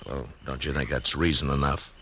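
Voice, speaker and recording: A deep-voiced frontier marshal. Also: male voice, level tone, brisk, a bit bright, a little hiss or hum. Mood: easygoing. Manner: laconic, drawling, understated. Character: steady frontier marshal, grown man, calm and plainspoken. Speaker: narrator and lead character. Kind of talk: 1950s radio drama acting.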